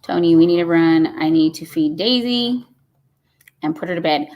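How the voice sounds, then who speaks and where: A woman's voice: fairly low pitch, normal speed, lo-fi sound. A woman, hosting a casual live stream and chatting folksily as she reads comments aloud. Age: 50s. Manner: chatty and folksy, says y'all.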